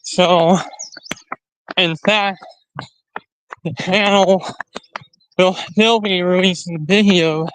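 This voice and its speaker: Guy doing a casual livestream, kinda high voice for a man, slow and rambly, keeps restarting sentences. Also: fairly even intonation, a bit bright, smooth, dry recording, low-quality recording. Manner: rambling, halting, repeats and restarts phrases. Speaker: live stream host. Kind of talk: casual livestream chat to viewers.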